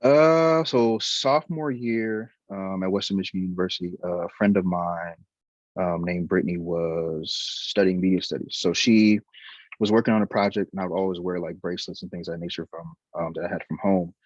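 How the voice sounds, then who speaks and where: A man's voice: deep voice, steady tone, normal speed, no echo, dull audio. A young man from Liberia, a photographer talking casually about his career, hesitant with many fillers and restarts. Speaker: photographer, interview guest.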